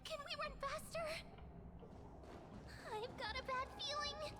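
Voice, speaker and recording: A woman voicing a tiny, childlike, excitable companion in a video game, squeaky and exclaiming. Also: a little slow, background noise. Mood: anxious. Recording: loud game music behind.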